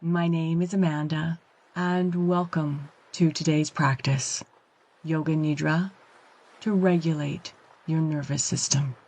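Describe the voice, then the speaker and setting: Very slow-paced woman guiding meditation. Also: deep voice. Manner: calm, gentle, measured phrases with long pauses. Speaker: yoga nidra meditation guide. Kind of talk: guided meditation, slow and soothing instruction.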